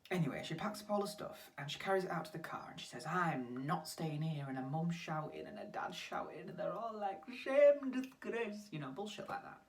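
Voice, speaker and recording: An actor playing a blunt, talkative young British flatmate in a colloquial, animated monologue. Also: mid-range voice, brisk, hardly any pauses, natural voice. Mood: animated, wry. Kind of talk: naturalistic monologue acting. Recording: distant microphone.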